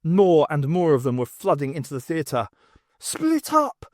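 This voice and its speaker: A man's voice: a little high, normal speed, dark tone, dry recording, clean studio sound. A man reading scripted story narration as the narrator of an audiobook.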